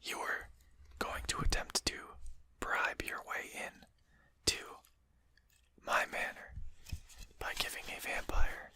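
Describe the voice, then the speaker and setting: A performer voicing a courteous but condescending vampire in a whispered ASMR roleplay, speaking formally and with measured care. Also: natural voice. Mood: bemused, admonishing. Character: courteous, condescending vampire manor lord, amused and civil.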